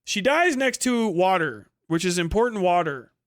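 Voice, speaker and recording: A man's voice: sits high, sing-song, moderate pace, no room sound, clean studio sound. A man giving a casual, ranting review monologue as a livestream host, blunt, profane and exasperated, repeating points for emphasis.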